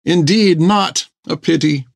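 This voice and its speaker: A man's voice: fairly high pitch, slow pace, gravelly, no echo, clean studio sound, pitched above the performer's own voice, heavily acted. A man voicing a fussy, pompous male ship's doctor who speaks in a prim, indignant way.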